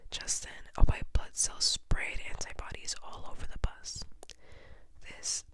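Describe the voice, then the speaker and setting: A person reading a children's story aloud entirely in a soft, breathy, unvoiced whisper, in an ASMR style. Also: measured pace. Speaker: storybook reader.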